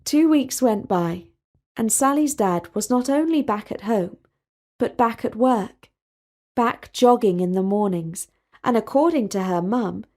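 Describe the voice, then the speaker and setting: A woman reading a scripted story as its narrator, in a measured, expressive way. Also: a little high.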